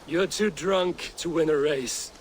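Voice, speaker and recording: A man playing a stern, forthright Viking warrior in a dramatic video game, speaking in a measured, blunt way. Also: on the high side, slight background noise, poor audio. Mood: teasing.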